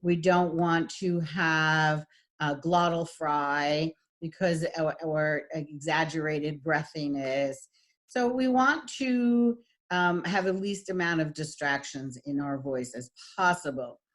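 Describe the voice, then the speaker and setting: A middle-aged woman with a husky voice, giving a recorded lecture as a voice and speech teacher, with frequent fillers. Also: low-pitched, takes it slowly. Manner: demonstrates voice types, lots of fillers.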